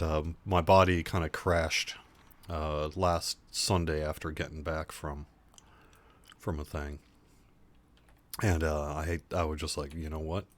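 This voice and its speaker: Stream host, male, very low voice, throat going, quiet and halting with lots of fillers. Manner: halting, many fillers and restarts, goes quiet. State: hoarse, sore throat and cough. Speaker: livestream host for an online game. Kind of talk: casual live-stream chat.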